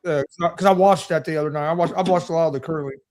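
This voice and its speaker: A man on a sports chat show who rambles on, repeating and restarting his sentences. His voice is a little high for a man. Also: fairly even intonation, racing, a little mellow. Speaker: co-host.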